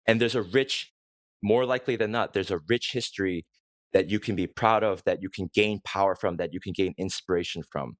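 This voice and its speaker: A man's voice: low-pitched, a little fast, no room sound. A man with a Korean American accent, talking earnestly and at length as a podcast host. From United States. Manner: earnest, long-winded, laughs easily. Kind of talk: casual podcast conversation.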